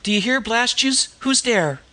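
A man's voice: very high-pitched, animated, measured pace, sharp tone, voice pushed up, heavily acted. A man voicing a young woman disguised as a coarse, screeching old slum hag. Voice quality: screeching, cackling. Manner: caustic, coarse street talk.

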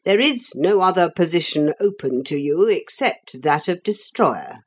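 A woman's voice: lowish voice, animated, unhurried, slightly rough. A woman voicing a solemn, formal male storybook leader with cold, pompous dignity. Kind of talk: storybook character voice.

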